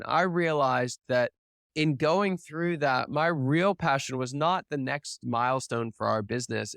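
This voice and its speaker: A man's voice: medium pitch, gravelly, fairly bright tone, dry recording, clean studio sound. A man telling his story as a guest on an interview podcast, earnest and reflective, often checking in with "right?". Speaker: podcast guest, business founder.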